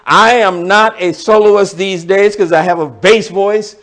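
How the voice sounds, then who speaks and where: A man's voice: high voice, fairly even intonation, unhurried, a bit bright. A pastor explaining scripture verse by verse to his congregation in a sermon, talking directly to the listeners. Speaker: pastor teaching a Bible study. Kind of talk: sermon-style Bible teaching.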